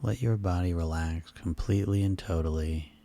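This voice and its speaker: A man leading a guided sleep hypnosis session in a soothing, hushed, instructional way. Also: low-pitched, normal speed.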